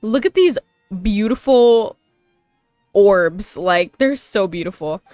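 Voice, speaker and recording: A woman's voice: medium pitch, expressive, takes it slowly, darkish tone, no echo, clean studio sound. A woman gaming YouTuber giving casual, informal playthrough commentary in a chatty way. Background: faint game music.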